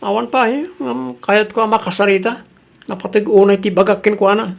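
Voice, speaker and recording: An earnest jeepney driver acted by a man in an Ilocano radio drama. The voice has a gravelly creak and an Ilocano accent, and sounds worried and respectful, like someone answering to a boss. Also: sits high, steady tone, fairly quick. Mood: worried, puzzled.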